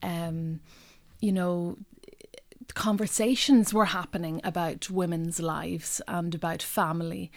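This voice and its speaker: A low-voiced Irish woman poet. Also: fairly even intonation, no room sound. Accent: Donegal Irish. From North West Donegal, Ireland. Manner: thoughtful, measured, many pauses with um and you know. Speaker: poet, guest. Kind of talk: poetry reading and interview answers.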